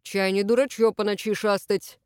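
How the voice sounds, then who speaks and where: A woman voicing a gruff, plump, balding country landowner who grumbles dismissively. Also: medium pitch, flat delivery, normal speed, a little mellow, dry recording, clean studio sound, close to an everyday voice. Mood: grumbling.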